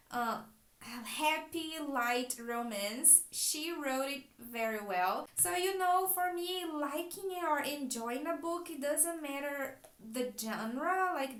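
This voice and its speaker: High-voiced Brazilian woman chatting about books. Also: a bit bright. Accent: Brazilian Portuguese. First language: Portuguese. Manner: chatty, thinking aloud, friendly. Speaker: book vlogger and private teacher. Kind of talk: casual book haul vlog. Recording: echoey room.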